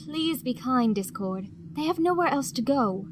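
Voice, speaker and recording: A woman playing a composed, formal mature pony madam in an audio drama, measured and politely chiding. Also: high voice, expressive, background noise. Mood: concerned, chiding.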